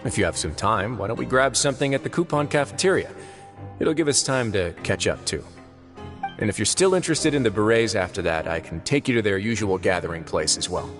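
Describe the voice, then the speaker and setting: An actor voicing a composed adult prison warden in a video game, speaking in a calm, measured, thoughtful way. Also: male voice, on the low side, close to an everyday voice. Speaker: game character. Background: faint game music.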